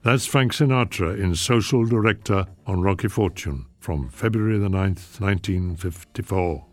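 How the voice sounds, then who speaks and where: A man's voice: deep voice, normal speed, a little mellow. A man hosting a radio show with scripted introductions. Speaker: host. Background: music.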